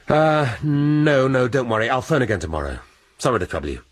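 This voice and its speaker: A man's voice: mid-range voice, big pitch swings, a little fast. An actor playing a brusque, probing and sardonic male police inspector.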